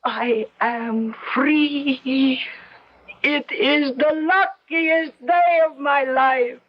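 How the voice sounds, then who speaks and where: A woman's voice: sits high, fairly even intonation, drawn-out words, heavily acted. A woman playing a vain, nervous and defiant former ballerina of fifty in a radio drama, theatrical and intense. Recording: dull old radio recording.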